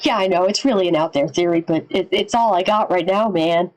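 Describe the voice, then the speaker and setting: A woman giving casual live reaction commentary as a streamer, informal and sweary as she thinks out loud. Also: lowish voice, a little fast. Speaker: streamer reacting to and analysing horror videos.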